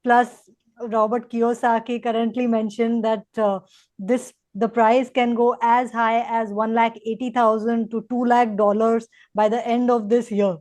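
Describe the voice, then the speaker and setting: A woman with an Indian accent and a fairly high voice acts as interviewer. She talks conversationally, setting up points and putting questions to her guests. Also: level tone, unhurried, darkish tone.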